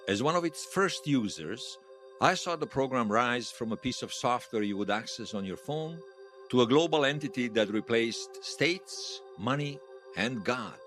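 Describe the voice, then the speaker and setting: A man acting the part of a wistful, wry old hero in his seventies, reminiscing haltingly in a radio drama interview. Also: mid-range voice, a bit bright. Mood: solemn, grand. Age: 70s. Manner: halting, reflective, self-deprecating. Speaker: interview guest.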